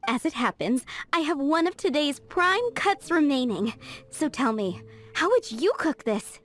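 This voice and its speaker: An actress playing a proud, busy female cook in a game cutscene, brisk and blunt. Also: high-pitched, big pitch swings, fairly bright tone, heavily acted. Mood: curious. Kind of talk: video game cutscene dub.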